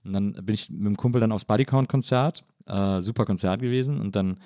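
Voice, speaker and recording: Middle-aged guy from near cologne, low warm voice, chatty storyteller, laughs a lot. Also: a little fast, no echo, studio quality. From Germany, near Cologne. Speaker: podcast host. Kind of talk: casual conversation. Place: living room.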